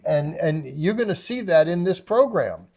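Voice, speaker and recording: An older man hosting a ministry travel show, hearty and lively. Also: a little high, moderate pace. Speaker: host. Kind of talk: casual co-hosting.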